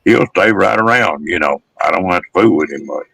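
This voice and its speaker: A man with a deep, damaged, gravelly voice, chatting casually in a folksy, rural storytelling way. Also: lively intonation, brisk, a bit bright, dry recording. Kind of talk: casual chat.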